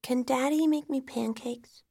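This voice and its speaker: Small daughter in an audio drama, acted by a woman: high, frightened, innocent, pleading. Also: fairly even intonation, measured pace, creaky, a little mellow, husky, exaggerated voice. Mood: innocent, upset. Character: small frightened daughter asking for her parents. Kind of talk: dramatic audiobook-style storytelling.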